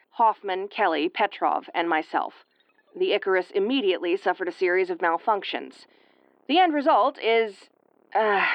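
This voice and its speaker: A woman's voice: fairly low pitch, no room sound, muffled recording, lightly acted. Woman acting a sardonic, battered starship officer, dry close sound. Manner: exasperated, swearing, wry tomboyish delivery. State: woozy and battered. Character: gruff, sarcastic, injured woman starship first officer. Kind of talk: audio roleplay acting, recorded log monologue.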